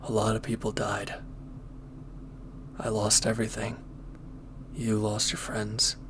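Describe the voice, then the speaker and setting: Man acting a low, subdued, conflicted former villain. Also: completely flat delivery, noisy background. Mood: regretful, earnest. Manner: hesitant, earnest, halting. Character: brooding former villain, now a conflicted, hesitant lover. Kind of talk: intimate audio roleplay acting.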